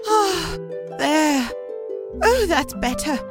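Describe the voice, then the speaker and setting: Woman voicing a high, dramatic storybook teacup. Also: lively intonation, a little slow, music in the background. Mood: relieved, amazed. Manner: theatrical, exclaiming, pleading. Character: beautiful talking teacup, once clay, dramatic and plaintive.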